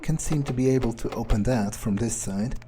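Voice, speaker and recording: A man's voice: medium pitch, fairly quick, warm tone, a little hiss or hum. A man doing improvised in-character commentary on a game, thinking aloud in a nonchalant, hesitant way. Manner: nonchalant, musing, hesitant phrasing. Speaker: gamer doing an in-character playthrough.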